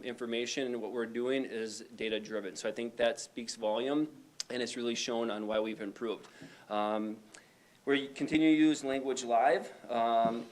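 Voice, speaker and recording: A man, a high school principal, reporting to a school board in a loose, conversational way with frequent fillers. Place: meeting room.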